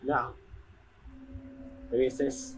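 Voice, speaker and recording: A woman's voice: a bass voice, flat delivery, drawn-out words, slight background noise, low-quality recording. A woman giving casual, opinionated gameplay commentary in an informal way as she plays. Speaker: game streamer. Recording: game music behind the voice. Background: game music.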